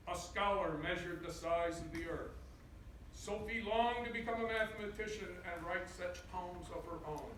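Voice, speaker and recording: A man reading a picture book aloud to a school assembly, with deliberate, dramatic storytelling emphasis. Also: sits high. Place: large echoey indoor school assembly space. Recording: echoey hall, distant mic, faint noise.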